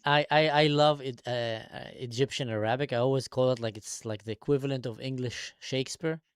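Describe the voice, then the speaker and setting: A man's voice: mid-range voice, fairly even intonation, fairly quick, clean tone, no room sound, studio quality. A man from Israel, a guest in a casual chat, reacting often with interjections of amazement.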